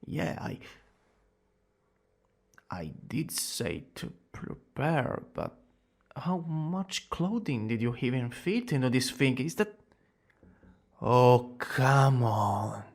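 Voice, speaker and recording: A man's voice: medium pitch, big pitch swings, heavily acted. A man playing a smug, dramatic young villain student who is secretly smitten, in an ASMR romance roleplay. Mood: flustered, exasperated. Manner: dramatic, drawn-out boasting that slips into breathy whispers and flustered exclamations. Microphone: close studio-style microphone.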